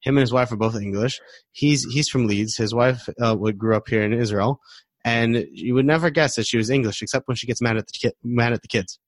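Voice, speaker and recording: A man's voice: mid-range voice, fairly quick, no echo. A man hosting a podcast in casual, effusive interview chat, often repeating himself. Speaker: podcast host.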